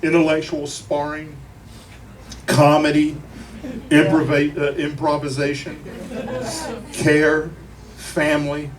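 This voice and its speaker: A grieving man delivers an emotional eulogy to a crowd, speaking deliberately, with profanity and wry humor. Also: on the high side, monotone. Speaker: eulogist at a memorial. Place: crowded bar at a memorial. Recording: noisy live room, distant mic.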